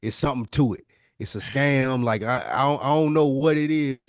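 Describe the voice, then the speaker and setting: A man's voice: medium pitch, brisk, dry recording, studio quality. A man hosting a podcast with casual commentary, blunt and slangy, repeating phrases for emphasis. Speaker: podcast host.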